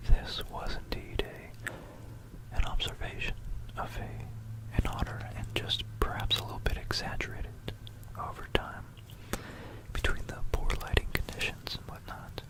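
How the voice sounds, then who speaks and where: An ASMR narrator from Florida with an American accent whispers a musing, explanatory history talk close to a stereo microphone. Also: low-quality recording. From Florida, United States. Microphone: close stereo binaural microphone.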